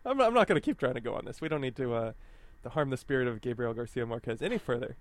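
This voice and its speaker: A man's voice: mid-range voice, expressive, fast talker, no echo. A man co-hosting a casual podcast, asking questions and joking around, with frequent fillers. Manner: jokey, inquisitive, trails off into fillers. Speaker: podcast co-host.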